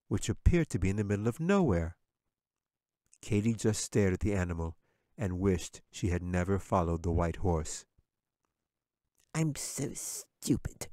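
A man's voice: low-pitched, dark tone, no room sound, studio quality. A man narrating a scripted fantasy audiobook as its author and storyteller.

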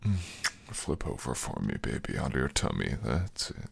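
Very deep, gravelly, breathy boyfriend played by a man. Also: big pitch swings, whispered, exaggerated voice. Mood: soothing, sensual. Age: young adult. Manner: breathy, murmured, slow and soothing. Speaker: audio roleplay voice actor. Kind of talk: intimate boyfriend ASMR roleplay.

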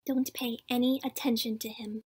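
A woman's voice: fairly high pitch, flat delivery, moderate pace, darkish tone, slightly rough. A woman playing a pushy, entitled mother in a web cartoon, huffy and condescending. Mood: indignant. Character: pushy, entitled, indignant mother of small boy. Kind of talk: animated web cartoon acting.

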